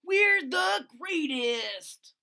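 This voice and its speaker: A woman's voice: very high voice, lively intonation, very slow, vocal fry, sharp tone, voice pushed up, heavily acted. A woman voicing a boastful, bossy young male dinosaur bully in a storybook, with taunting, emphatic delivery. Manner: taunting, emphatic, stretched-out words.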